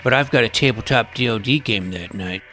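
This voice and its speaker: A man's voice: lowish voice, brisk, sharp tone, faint music behind. A man narrating a first-person scripted audio drama as its young protagonist, earnest and precise.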